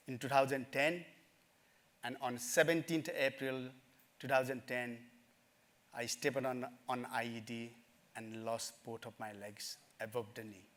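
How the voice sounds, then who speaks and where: Man, middle-aged, mid-pitched, earnest, halting with fillers, personal story talk. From Nepal. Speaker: speaker at a summit. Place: conference stage.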